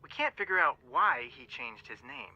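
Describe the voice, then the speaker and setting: A man's voice: high voice, big pitch swings, normal speed, creaky, muffled recording, a crowd in the background, full character voice. An actor playing a dry, level-headed male detective, the straight man of a pair in a video game, heard through a phone filter. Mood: matter-of-fact.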